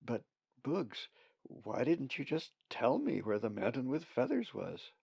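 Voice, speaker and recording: A man's voice: medium pitch, huge pitch swings, measured pace, gravelly, darkish tone, husky, no room sound, lo-fi sound, exaggerated voice. A man voicing a curious young male raven, asking hesitant questions. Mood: puzzled.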